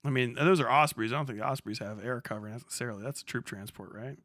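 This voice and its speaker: A man's voice: medium pitch, fast talker, fairly bright tone, no echo, clean studio sound. A man giving casual, off-the-cuff livestream commentary as a military veteran, slangy and full of swearing. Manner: profane, slangy.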